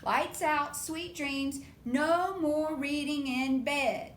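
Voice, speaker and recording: A woman voicing a stern, exasperated mother monkey from a picture book, scolding loudly and emphatically about bedtime rules. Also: steady tone, very slow. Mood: firm, stern. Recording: roomy echo with faint low rumble.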